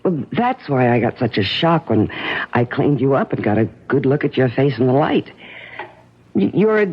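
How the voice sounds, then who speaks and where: A woman's voice: rumbling low, very animated, normal speed, slightly rough, exaggerated voice. An American actress playing a troubled married woman, an insistent rescuer with suspicions, in 1970s radio drama style on a muffled old broadcast. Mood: shaken, probing.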